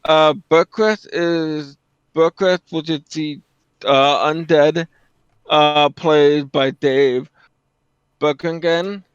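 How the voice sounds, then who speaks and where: A man's voice: fairly high pitch, steady tone, extremely slow, clean tone, no room sound. A man co-commentating on a livestream, chatting casually over dull voice chat audio.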